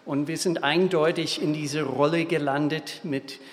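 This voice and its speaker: A man in his fifties from Minnesota with an American accent, preaching a sermon in a halting way, with restarts and small slips. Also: on the high side, fairly even intonation. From Minnesota, USA. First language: English. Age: 50s. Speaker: guest preacher. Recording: long hall reverb.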